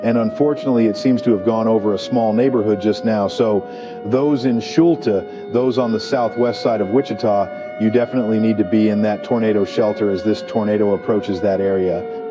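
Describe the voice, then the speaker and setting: A man playing a weather anchor, measured and serious, delivering emergency tornado coverage. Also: lowish voice, steady tone, close to an everyday voice. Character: male TV meteorologist anchoring live tornado coverage. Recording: dull lo-fi audio with music.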